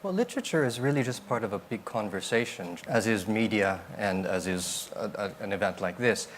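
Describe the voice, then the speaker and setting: Lower-voiced reflective man panelist. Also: animated, a little fast, slight background noise. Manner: reflective, searching phrasing. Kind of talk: panel discussion.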